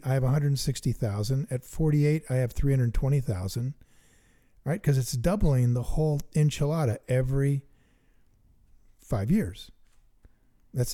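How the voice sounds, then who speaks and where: A middle-aged man co-hosting a chat about investing, eager and thinking out loud as he works sums aloud. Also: mid-range voice. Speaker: investing podcast co-host. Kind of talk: casual teaching chat.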